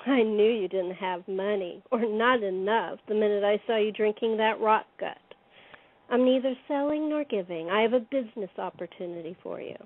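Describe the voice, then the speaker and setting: A woman acting as a noir femme fatale with a medium-pitched voice. She is cool, needling and sure of herself. The audio is narrow and tinny, as if over a phone. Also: moderate pace, lightly acted. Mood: sly, assured. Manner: sly, self-assured, needling. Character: cool, teasing femme fatale with a shady proposition. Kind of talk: noir stage-play acting.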